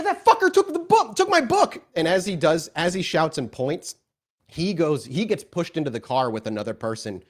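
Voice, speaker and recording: A man with a medium-pitched voice narrates a tabletop roleplaying game as its storyteller. His delivery is animated and conversational, improvised as he guides the players.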